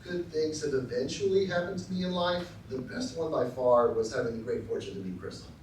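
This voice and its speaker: A man's voice: fairly high pitch, lively intonation, a little fast, a little mellow, far from the mic, a little hiss or hum. Man giving a speech in an echoey hall. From Texas, US. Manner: dry, self-deprecating, with frequent ums and uhs. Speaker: professor giving an acceptance speech. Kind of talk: formal speech with light humor. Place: large lecture hall.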